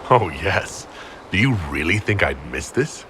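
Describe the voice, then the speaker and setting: The level-headed leader of a fantasy order. His voice is fairly low with lively inflection, kindly and direct. A little noise is behind him. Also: measured pace. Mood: amused. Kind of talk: video game protagonist acting.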